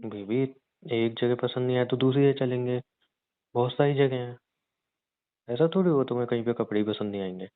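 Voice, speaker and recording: A man's voice: mid-range voice, a little fast, long pauses, clear, resonant tone, lightly acted. Man playing a pleading young husband, phone-like sound. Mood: coaxing. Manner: coaxing, insistent, aggrieved. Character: pleading, sulky young husband wooing his wife. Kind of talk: staged intimate phone-call style conversation. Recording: thin, phone-like narrowband audio.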